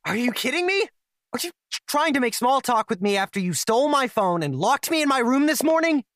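A man's voice: very high-pitched, very animated, a little fast, a bit bright, no echo, studio quality, exaggerated voice. A woman voicing an anxious young man who stutters as he finally stands up to his controlling partner. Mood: angry. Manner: stuttering, shaken, defiant. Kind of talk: audio drama acting.

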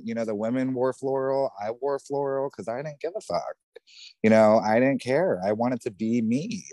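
A man from New Jersey with a New Jersey accent, a podcast guest telling his story candidly, outspoken and animated, swearing freely. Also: medium pitch, no room sound. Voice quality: says he has a feminine voice.

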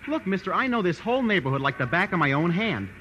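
A man's voice: high voice, very animated, fast talker, sharp tone, muffled recording, exaggerated voice. A man playing a cocky, slangy American street newsboy in a 1940s-style radio drama. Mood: brash, chatty. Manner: slangy, brash. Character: cocky street-smart newsboy hawking late papers. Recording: hissy old radio recording.

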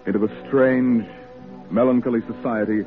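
A man's voice: medium pitch, fairly even intonation, normal speed. A man narrating a 1940s radio drama in a grave, oratorical manner. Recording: tinny 1940s radio recording, music behind.

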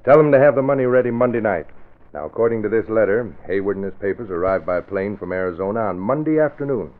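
A man acting a sly American gangster in a vintage radio serial. The voice is low and swings animatedly through slangy, cocky patter. The sound is thin and narrow, like an old broadcast. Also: expressive, fast talker, lightly acted. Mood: smug. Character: sly tough American gangster, crime boss Julian Carey. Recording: thin, band-limited vintage radio recording.

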